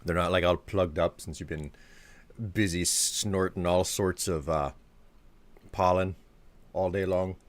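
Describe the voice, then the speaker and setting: A man's voice: deep voice, steady tone, a little slow, long pauses, dry recording. A man chatting casually like a streamer to viewers, in a rambling, joking way, playing around with accents. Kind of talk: casual livestream chat, answering viewers.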